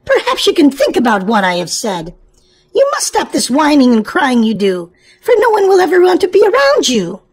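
A woman's voice: high voice, huge pitch swings, normal speed, rough recording. A woman voicing a stern, scolding mother rabbit in a storybook, firm and lecturing.

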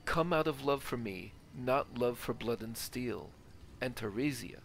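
A man's voice: medium pitch, measured pace, gravelly, a little hiss or hum, low-quality recording. A man hosting a gaming video, giving playthrough commentary and reading in-game text aloud. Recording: faint game ambience behind.

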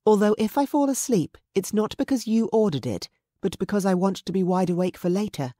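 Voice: mid-range voice, brisk, dark tone, dry recording, clean studio sound, voice pushed up, close to an everyday voice. A narrator voicing a playful young woman who teases in a light, lilting way.

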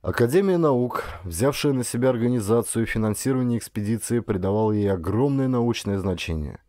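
A man reading a first-person story as an audiobook narrator, in a dramatic, expressive way with varied intonation. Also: medium pitch, fairly quick, dry recording, clean studio sound.

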